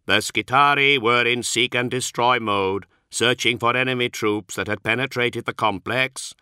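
A harsh, atonal synthetic voice of a male tech priest, as a man reads him, slow and clipped, every word carefully spaced. It is set in a dry, close audiobook recording with a bright edge. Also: mid-range voice, steady tone, clear, resonant tone, dry recording, voice pushed up. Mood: measured. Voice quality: harsh, atonal, strangely inflected. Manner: slow, clipped, precise delivery.

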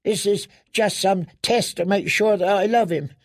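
A terrified young captive girl, played by a male audiobook reader, pitched high and pleading. The delivery whimpers and stumbles, repeating words in a broken, halting way. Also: dry recording. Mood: frightened pleading. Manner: whimpering, halting, stammering repetitions. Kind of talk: dramatic audiobook character reading.

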